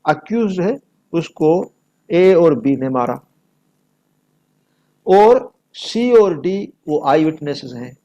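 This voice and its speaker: Slow-paced Pakistani man lecturing, higher-pitched voice. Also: expressive, warm tone, muffled recording. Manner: didactic, emphatic, switching between Urdu and English, repeating key phrases. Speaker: law lecturer. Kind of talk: explanatory lecture, reading illustrations aloud and explaining them.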